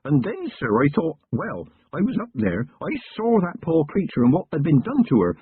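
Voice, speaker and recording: A man's voice: fairly high pitch, very animated, normal speed, breathy edge, no echo, voice pushed up, heavily acted. A man voicing an earnest, anxious young male country constable who rambles eagerly in an audiobook. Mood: rambling. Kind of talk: audiobook character voice. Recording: tinny lo-fi narration recording.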